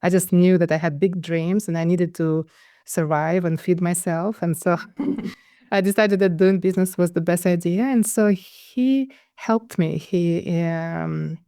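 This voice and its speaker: A woman's voice: on the low side, a little slow, mellow, dry recording, studio quality. A middle-aged woman from Ukraine, a guest telling her life story in an interview, hesitant and full of restarts. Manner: hesitant, with many restarts and fillers. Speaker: guest, conflict consultant.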